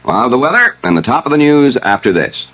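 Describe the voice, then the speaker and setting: A man's voice: mid-range voice, big pitch swings, fast talker. A man reading news and weather in a scripted style as a radio announcer. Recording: slightly dull old radio recording.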